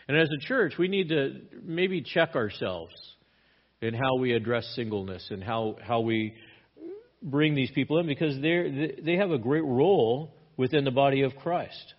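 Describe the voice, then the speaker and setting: A man's voice: medium pitch, expressive, moderate pace, muffled recording. A man pastor teaching a sermon verse by verse in a conversational manner, often restarting his words.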